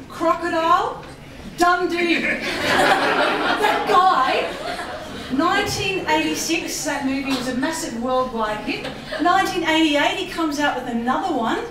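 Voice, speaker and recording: A woman with an Australian accent giving a lively public talk about voice work, laughing often and mimicking accents. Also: high voice, distant mic, background noise. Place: embassy hall. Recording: echoey hall audio from afar.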